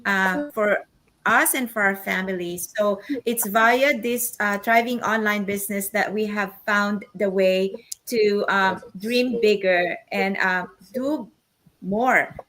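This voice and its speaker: A middle-aged woman with a Filipino accent hosting an online panel casually, speaking in a halting way. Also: medium pitch, a bit bright, low-quality recording. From Philippines. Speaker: podcast host, nurse.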